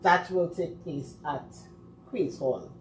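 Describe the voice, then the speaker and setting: Trinidadian woman, group representative, low voice, steady explainer, echoey room. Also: slow pace. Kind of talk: casual chat. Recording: echoey room, faint background noise.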